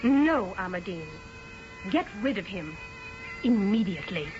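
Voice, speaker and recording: An actress playing an imperious young British fiancée in a radio drama, clipped and theatrical. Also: female voice, medium pitch, big pitch swings, measured pace, over music. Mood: indignant. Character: haughty, imperious young English fiancée, exasperated by ghosts. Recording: dull vintage radio drama recording.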